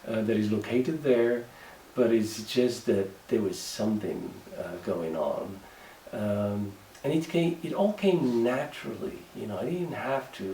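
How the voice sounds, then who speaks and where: A man's voice: mid-range voice, warm tone. Hesitant Moroccan man, roomy distant sound. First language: Moroccan Arabic. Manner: hesitant, many fillers and restarts. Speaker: writer. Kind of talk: interview monologue. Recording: echoey room, mic at a distance, faint background noise.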